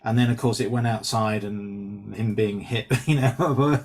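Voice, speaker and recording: A man's voice: lowish voice, steady tone, unhurried, darkish tone. A man, an actor on a reading panel, giving casual spoken feedback in an enthusiastic, encouraging way.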